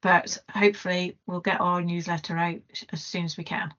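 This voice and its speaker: Woman interviewing on a podcast, bright voice, chatty, bit of a muffled sound. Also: on the low side, level tone, moderate pace. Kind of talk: casual podcast chat.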